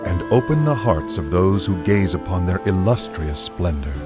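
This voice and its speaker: An actor playing a calm, wistful storyteller in a game cutscene, speaking in a poetic, measured, theatrical way. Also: male voice, low voice. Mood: wistful, admiring. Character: calm, wistful male storyteller describing a tranquil village. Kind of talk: scripted game cutscene narration. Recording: loud music behind the voice.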